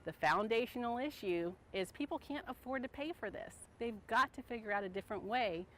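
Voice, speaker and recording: A woman's voice: fairly high pitch, lively intonation, fairly quick, fairly bright tone, slight background noise. A woman speaking firmly in an outdoor news interview, pushing her point with emphasis. Faint ambient sound sits behind her voice. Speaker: interviewed bond opponent. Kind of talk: street interview.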